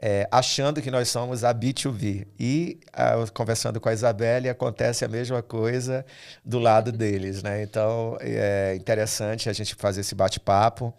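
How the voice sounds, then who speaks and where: A man's voice: fairly low pitch, steady tone, moderate pace, vocal fry, a little mellow, clear, resonant tone. A man hosting a podcast, talking casually as he leads an interview. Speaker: podcast host.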